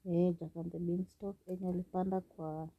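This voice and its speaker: A woman's voice: lowish voice, flat delivery, fairly quick, vocal fry, husky, phone-quality sound. A Kenyan woman co-hosting a podcast in casual, bantering chat. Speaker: podcast co-host. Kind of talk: casual bantering chat, mixing Swahili and English.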